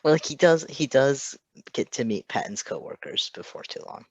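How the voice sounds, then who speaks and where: A woman's voice: a bass voice, lively intonation, normal speed, no room sound. A woman co-hosting a fan fiction reading, chatting casually and giggling. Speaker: co-reader and podcast co-host. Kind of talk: casual chat.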